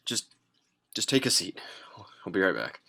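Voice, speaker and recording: A man playing a hesitant, caring fast-food manager in an amateur table read, speaking haltingly and repeating himself. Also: deep voice, sing-song, creaky, pushed and bright, breathy edge, heavily acted. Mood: reluctant, grave.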